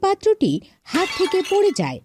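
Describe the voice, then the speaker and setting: High-pitched woman narrating a fairy tale. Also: huge pitch swings, moderate pace, a little mellow, a little hiss or hum.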